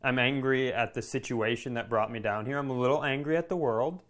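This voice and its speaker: A man's voice: mid-range voice, a little fast. A man hosting a comedy podcast, telling a story casually and animatedly, swearing freely and acting out the dialogue. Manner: animated, profane, acts out quoted dialogue.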